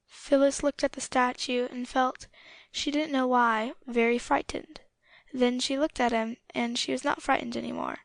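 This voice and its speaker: A woman's voice: high voice, fairly even intonation, moderate pace, vocal fry, no echo. A woman narrating a scripted story as an audiobook reader.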